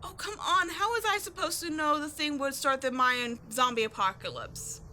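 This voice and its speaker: Tomboy adventurer archaeologist for roleplay audio, female actor: young woman, high-pitched, sharp and bright, cocky bluster over a soft heart, slangy teasing delivery. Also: normal speed, a little hiss or hum. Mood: indignant.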